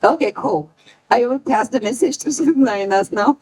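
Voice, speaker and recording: A woman speaking on a panel about the fashion brand she founded, halting and emotional, often searching for her words. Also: on the high side, a little mellow, husky, low-quality recording. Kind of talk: panel talk.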